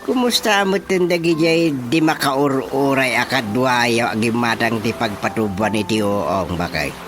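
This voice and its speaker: A woman playing an excitable, easily astonished family member in an Ilocano radio comedy, with an Ilocano accent. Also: extremely low pitch, a little slow. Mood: astonished, curious. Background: music.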